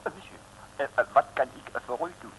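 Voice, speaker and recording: An actor playing a hesitant, politely formal airport ticket clerk in a 1960s radio comedy. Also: male voice, medium pitch, brisk, a bit bright, close to an everyday voice. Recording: noisy old radio recording.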